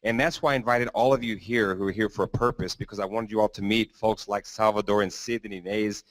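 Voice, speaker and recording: Low-voiced man hosting a conference. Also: steady tone, fast, dry recording. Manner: loose, rambling, with fillers. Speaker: event host. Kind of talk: conference introduction.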